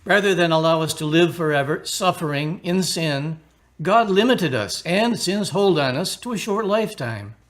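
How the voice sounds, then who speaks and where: Man playing an earnest, kindly priest, higher-pitched voice. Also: lively intonation, normal speed, lightly acted. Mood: earnest preaching. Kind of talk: radio drama acting.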